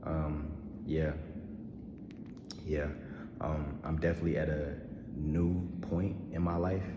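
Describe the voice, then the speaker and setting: Deep flat-voiced guy around twenty rambling casually, lots of ums, echoey with noisy background. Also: slow pace. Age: 20s. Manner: laid-back slangy rambling, frequent ums and laughs. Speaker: vlogger. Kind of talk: casual personal vlog monologue.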